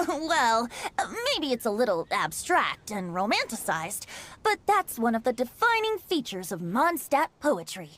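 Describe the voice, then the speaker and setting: Game bard, young male: high-pitched, animated, playful, theatrical, with a poetic lilt. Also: measured pace, slight background noise, heavily acted. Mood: cheerful. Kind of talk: English anime-style game voice acting.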